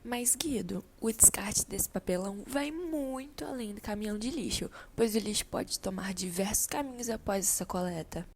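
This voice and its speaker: Woman playing schoolteacher, Brazilian accent, didactic, encouraging, fry in the voice, faint background noise, radio play. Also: medium pitch, normal speed, few pauses, darkish tone, lightly acted. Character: female schoolteacher, kindly, guiding a classroom discussion. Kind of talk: scripted amateur radio drama.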